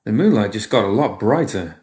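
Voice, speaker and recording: A man's voice: fairly low pitch, animated, a little fast, darkish tone, echoey room, natural voice. A man voicing a nervous newspaper courier in a story, speaking in a coaxing, politely careful way. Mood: secretive, coaxing.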